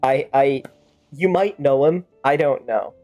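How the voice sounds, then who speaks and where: A woman's voice: rumbling low, unhurried, warm tone. Playful woman streamer, music behind. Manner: rambling, playful, exclaims suddenly. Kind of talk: casual game commentary with in-character fan-dub banter.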